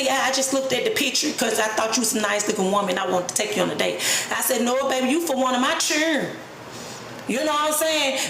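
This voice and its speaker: A woman's voice: sits high, fairly bright tone, roomy sound, a little hiss or hum, low-quality recording. A woman in her late thirties giving a blunt, profane, streetwise talk straight to the camera, preaching about people who play games. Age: 30s. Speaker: vlogger. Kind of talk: unscripted personal rant.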